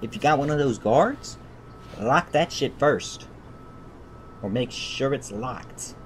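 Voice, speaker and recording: A man of medium pitch streams a story game over faint background noise. He reads the text aloud and breaks in with his own commentary. His manner is irritable and thick with swearing. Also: animated, slow. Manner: irritated, profane ranting. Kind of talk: livestream playthrough, reading visual novel text aloud with commentary.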